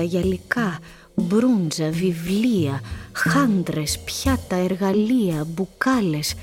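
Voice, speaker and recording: Woman narrating a radio play, medium pitch.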